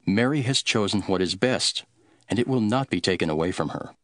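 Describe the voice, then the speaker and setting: An actor playing a calm, authoritative male teacher telling parables in an earnest, deliberate scripture drama. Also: on the low side, no room sound, studio quality. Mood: gentle reproof. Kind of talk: dramatised scripture reading, measured storytelling.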